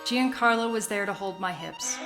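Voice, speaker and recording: A woman playing an older Sicilian woman who narrates her youth in a radio play, measured, confiding and wistful. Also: mid-range voice, music in the background, lightly acted. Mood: wistful, sensual.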